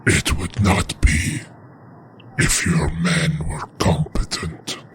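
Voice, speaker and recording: A man plays a cruel lord of an invading realm in an audio drama. His voice is very deep and his speech is drawn-out and menacing. A clear hiss sits under the recording. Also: steady tone. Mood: cold, menacing. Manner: slow, commanding, menacing.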